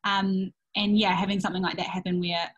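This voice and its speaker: A woman's voice: mid-range voice, little pitch movement, muffled recording. A young woman from England, talking openly and reflectively as an interview guest about family life. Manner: open, reflective, with fillers and quick asides. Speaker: interview guest, worldschooling mother. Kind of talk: casual interview answers.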